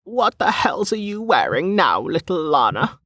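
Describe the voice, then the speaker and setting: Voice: mid-range voice, sing-song, unhurried, fairly bright tone, dry recording, clean studio sound, voice pushed up. A narrator voicing a teasing, bawdy old woman who runs a market stall, with a knowing manner, in an audiobook. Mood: mocking, fond. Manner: teasing, knowing, calls people girl and dear. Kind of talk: audiobook character dialogue.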